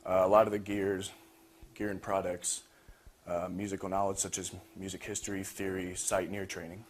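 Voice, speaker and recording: A young man with a fairly low voice gives an informal student presentation about his own work. The recording carries a little background noise. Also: level tone, moderate pace. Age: young adult. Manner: frequent throat clearing. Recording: noisy room recording.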